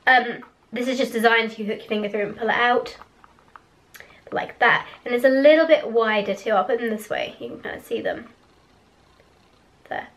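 A teenage girl vlogging from her bedroom, chatty and candid, with a hoarse voice that keeps cracking after a cough. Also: on the high side, moderate pace, long pauses, fairly bright tone, rough recording. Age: teens. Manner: chatty, candid, a little giggly. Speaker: vlogger reviewing products. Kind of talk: casual chat to camera. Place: small bedroom with posters on the wall. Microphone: webcam in front of her face.

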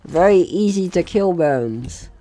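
A man's voice: high voice, expressive, takes it slowly, mellow, a little hiss or hum. A man giving casual let's play commentary, chatty and jokey, talking to himself and the game's enemies. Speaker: gaming channel host.

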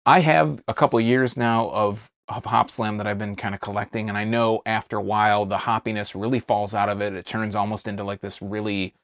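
An American man hosts a casual review, talking off the cuff in a voice with noticeable vocal fry. He often restates himself as he searches for the right description. The sound is very dry, with hardly any room in it. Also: fairly low pitch, steady tone, a bit bright, dry recording. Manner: halting, repeats and rephrases as he describes. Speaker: beer reviewer, video host. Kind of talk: casual unscripted review to camera. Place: small indoor studio, seated at a table.

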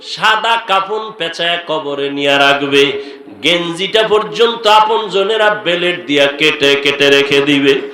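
A man's voice: on the high side, sharp tone. A man preaching an Islamic sermon with emotional appeals, stretching his calls into melodic chant. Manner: emotional exhortation, direct appeals to listeners, drawn-out melodic calls. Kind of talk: fervent religious sermon, partly chanted. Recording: echoing amplified sermon sound.

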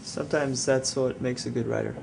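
An earnest young man with writing ambitions who talks quickly and hesitantly. His voice sits in a middle pitch, and loud background noise surrounds it. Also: steady tone, vocal fry. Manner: hesitant, awkward. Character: earnest young male aspiring writer. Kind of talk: indie film drama.

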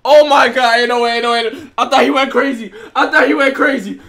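A man live-streaming his reactions with loud, jokey slang and frequent laughter. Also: extremely high pitch, fairly quick. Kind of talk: casual live-stream commentary.

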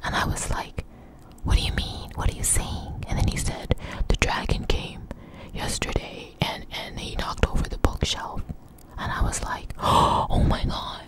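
A woman whispering close to the microphone in a breathy, intimate, conversational way, telling an informal story for ASMR. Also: normal speed, poor audio.

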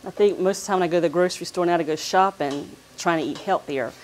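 A woman's voice: lowish voice, quick pace. A middle-aged American woman chatting casually as a guest cook in a kitchen. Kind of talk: casual chat. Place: firehouse kitchen, indoors. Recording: faint kitchen noise, sizzling.

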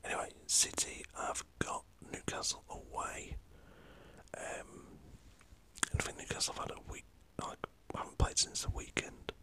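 A man's voice: a little slow, bright, forceful tone, low-quality recording. A man from the north of England with a northern English accent, whispering a rambling, chatty football talk for an ASMR channel. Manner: rambling, chatty, swears mildly. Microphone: camera set up in front of him.

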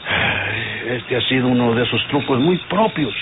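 An actor playing an exasperated police inspector in an old Mexican radio drama, commanding and muttering to himself. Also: male voice, medium pitch, expressive, moderate pace, natural voice. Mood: frustrated, rueful. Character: exasperated male police inspector leading a manhunt. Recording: noisy tinny old radio recording.

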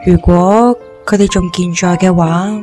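A woman playing a gentle, downcast young woman with amnesia in an audio drama. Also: fairly low pitch, fairly even intonation, unhurried, over music, lightly acted. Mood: wistful.

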